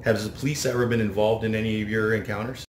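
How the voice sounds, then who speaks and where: A man's voice: on the low side, little pitch movement, quick pace. A man with a Canadian accent reading formal, prepared interview questions. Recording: roomy echo, faint noise.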